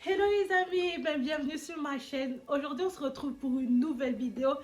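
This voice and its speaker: A young woman from Côte d'Ivoire with an Ivorian accent, chatting casually on her video channel and answering her sister's questions with lively, teasing energy. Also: sits high. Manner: lively, animated, quick to tease. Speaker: vlogger.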